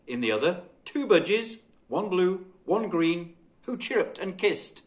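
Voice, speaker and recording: A man reading a children's story expressively and dramatically, with deliberate phrasing. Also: high-pitched, sing-song. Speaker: guest storybook reader.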